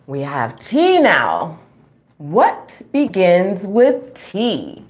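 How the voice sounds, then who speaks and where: A middle-aged woman reading a children's book aloud at home, expressive and playful, with chatty asides to young listeners. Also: lowish voice. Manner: expressive, playful, drawn-out reading, frequent chuckles and gasps. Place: small room at home.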